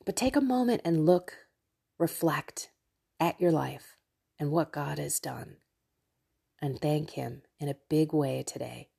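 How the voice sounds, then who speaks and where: Low-pitched, slightly nasal woman podcaster. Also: a little slow, creaky, long pauses, warm tone, breathy edge. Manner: effusive, chatty, talks directly to listeners. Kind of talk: casual devotional monologue to listeners.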